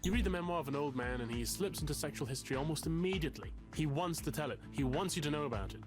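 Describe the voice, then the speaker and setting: A man's voice: on the high side, animated, racing, lightly acted. An actor in a visual novel playing a self-loathing, melodramatic young academic, wordy and self-mocking in his delivery. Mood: despairing, brooding. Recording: music under the voice.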